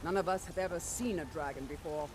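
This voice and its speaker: A woman's voice: deep voice, background noise, lightly acted. A woman acting a stern commanding warrior officer. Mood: grim, resolute. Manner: brisk, authoritative, commanding. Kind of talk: video game voice acting.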